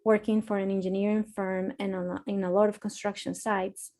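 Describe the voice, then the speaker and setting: A woman with a Spanish accent, telling a candid personal story on a panel in an earnest, halting way with many restarts. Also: medium pitch, flat delivery, darkish tone. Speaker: panelist, architecture author.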